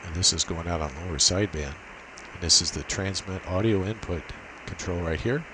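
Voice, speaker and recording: A man's voice: deep voice, fairly quick. A man giving an unscripted, methodical technical walkthrough, explaining a setup step by step. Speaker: amateur radio demonstrator. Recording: steady hiss and noise behind voice.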